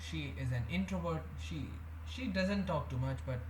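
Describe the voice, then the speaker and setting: A man's voice: a little high, lively intonation, normal speed, darkish tone. A young man, talking hesitantly to the camera in a rambling confessional vlog, full of fillers and restarts. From India. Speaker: vlogger. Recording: echoey room with faint background hum.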